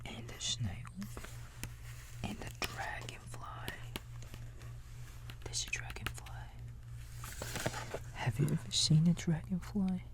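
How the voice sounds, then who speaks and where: A man's voice: fairly high pitch, expressive, slow, gravelly, pauses often. A man whispering an ASMR bedtime story as a babysitter, soothing and casual, with rambling asides to a child listener. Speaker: ASMR roleplayer playing a babysitter.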